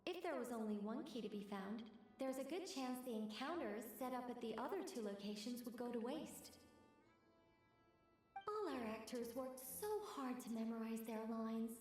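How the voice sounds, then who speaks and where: An actress playing a composed, polite young woman in a video game, measured, articulate and gently firm. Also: on the high side, expressive, natural voice. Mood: calm. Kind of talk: video game character acting. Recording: strong echo on the voice, music underneath.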